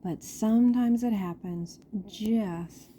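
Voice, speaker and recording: A loving, exasperated storybook mother, voiced by a woman reading aloud. She speaks slowly in a restrained singsong with a mellow tone. Faint noise sits behind the home recording. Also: medium pitch, pitched above the performer's own voice, natural voice. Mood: tender apology. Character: loving, exasperated mother of a pesky small child.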